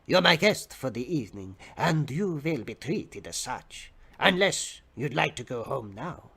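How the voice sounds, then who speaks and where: A man voicing a suave, unhurried vampire city bus in a storybook reading, charming with a calm menace. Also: medium pitch, voice pushed down, exaggerated voice. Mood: calm, seductive. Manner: slow, smooth, charming.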